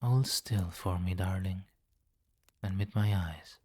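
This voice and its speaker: Male actor as a vampire king, low voice, unhurried, seductive, possessive, condescending, ASMR roleplay. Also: mellow, no room sound, studio quality. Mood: coaxing, commanding. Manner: slow, teasing, condescending, with murmured endearments. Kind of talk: ASMR roleplay, intimate address to listener.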